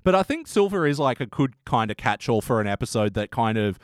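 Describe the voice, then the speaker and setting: A man hosts a casual review podcast in a lively, conversational way. His pitch is mid-range but moves around a great deal, and the recording is close and dry. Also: a little fast, no echo. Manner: animated, conversational, mildly sweary. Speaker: podcast host.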